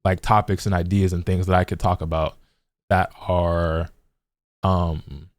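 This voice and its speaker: A man's voice: low voice, little pitch movement, a little slow, dry recording, studio quality. A man streaming and chatting casually, laid-back and rambling, full of "like" and repeated words. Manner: laid-back, swears freely. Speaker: gaming streamer.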